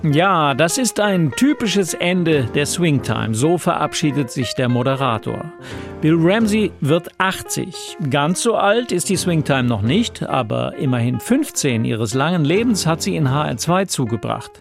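A man presenting a radio program in a relaxed, wry way, interviewing a guest. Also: a little high, a little slow, creaky, over music. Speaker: radio host.

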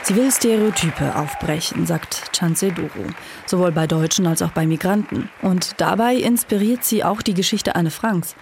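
A woman narrating a scripted radio news report in a calm, even way. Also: lowish voice, normal speed, crowd noise, noisy background.

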